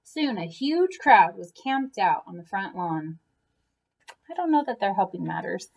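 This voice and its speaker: A woman reading a children's picture book aloud expressively, with an animated manner for young listeners. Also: mid-range voice, measured pace, creaky. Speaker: read-aloud storyteller for children.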